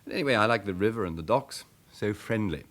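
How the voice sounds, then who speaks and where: An actor playing an urbane, languid, wry gentleman rogue in a radio drama. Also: lowish voice, expressive, quick pace. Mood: suave, wry. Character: suave, languid gentleman rogue lying low.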